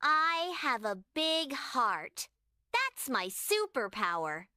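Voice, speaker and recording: A woman's voice: high voice, big pitch swings, takes it slowly, sharp tone, smooth, dry recording, studio quality, full character voice. A woman voicing a small, earnest preschool girl who is loyal and brave, in a children's bedtime story.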